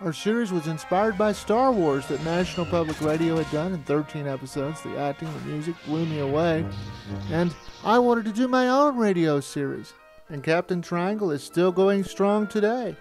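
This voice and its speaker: A man's voice: fairly high pitch, normal speed, over music. A man in his forties reading a scripted, first-person documentary narration. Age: 40s. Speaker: narrator looking back on his amateur studio.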